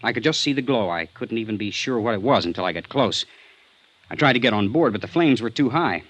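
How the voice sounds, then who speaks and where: Male defensive young suspect, earnest and touchy, lowish voice, dull dry old-radio recording. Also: expressive, a bit bright, no echo. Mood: earnest recounting. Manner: defensive, earnest, quick to bristle. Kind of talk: vintage radio drama acting.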